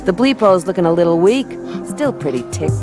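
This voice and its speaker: A woman's voice: fairly low pitch, expressive, fairly quick. A woman narrating a hardboiled radio play as the tough, wisecracking detective lead. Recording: radio play with music underneath.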